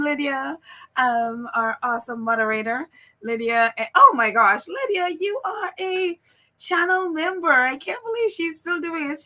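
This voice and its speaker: A woman hosting a casual livestream podcast, chatty and bubbly, laughing often and filling pauses with ums. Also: on the high side, bright, forceful tone. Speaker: podcast host.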